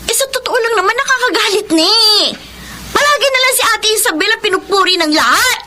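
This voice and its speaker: High-pitched, whiny jealous younger sister. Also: female voice, lively intonation, brisk, noisy background. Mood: resentful, sulky. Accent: Filipino. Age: young adult. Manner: whiny, resentful. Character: jealous, sulky younger sister, a university student. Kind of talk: radio drama acting.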